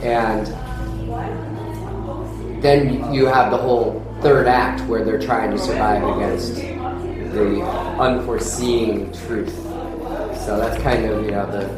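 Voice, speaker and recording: A man's voice: fairly low pitch, fairly even intonation, takes it slowly, pauses often. A man leading a screenplay table read as filmmaker, reading directions and talking casually. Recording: very echoey room, loud music behind.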